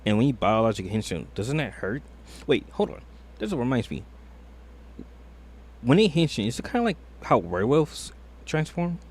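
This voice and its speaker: A man's voice: mid-range voice, very animated, normal speed, pauses often, a little mellow. A man streaming a reaction video, thinking aloud in a hesitant, rambling way full of fillers. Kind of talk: casual reaction commentary.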